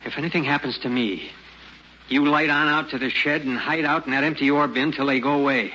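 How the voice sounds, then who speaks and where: A gruff frontier father gives tense orders in a very bright, hard-edged voice. The acting is old radio drama, heard through a dull recording with faint noise. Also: fairly high pitch, fairly even intonation, fairly quick. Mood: tense, wary. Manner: tense, commanding, muttering. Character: gruff, trigger-eager frontier father defending his homestead.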